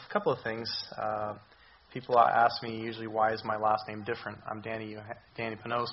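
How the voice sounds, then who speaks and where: A man giving a sermon as a visiting missions speaker, his voice hoarse from recent illness, talking in a halting way with many ums and restarts. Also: medium pitch, highs cut off, slight background noise. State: hoarse, recovering voice. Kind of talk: church sermon. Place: church.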